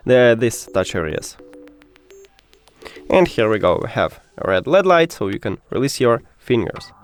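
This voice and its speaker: Lively-pitched man giving how-to steps over music. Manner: non-native English phrasing with dropped articles and slips. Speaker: tech tutorial presenter. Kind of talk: step-by-step how-to narration.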